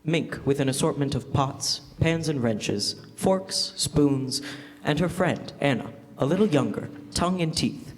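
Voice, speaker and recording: A man's voice: a little high, measured pace, lightly acted. A young man playing a formal, theatrical announcer and narrator of a 1940s-style radio drama. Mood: storytelling. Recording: echoey hall, live stage.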